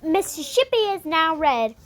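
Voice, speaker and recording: Woman, very high voice, slow and halting delivery, amateur emergency-broadcast announcer repeating her phrases. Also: big pitch swings, slight background noise. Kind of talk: scripted mock emergency alert announcement.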